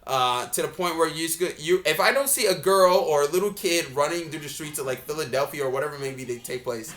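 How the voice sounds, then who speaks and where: A man's voice: fairly high pitch, a little fast, a bit bright. A man giving a casual, off-the-cuff reaction commentary as a reviewer, talking in a rambling, blunt way. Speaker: video game trailer reviewer.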